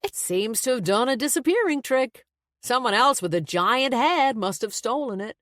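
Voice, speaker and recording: A woman's voice: a little high, animated, normal speed, a bit bright, no room sound, clean studio sound, voice pushed up. A woman voicing an easygoing, joking young man in an audiobook, with a casual conversational delivery.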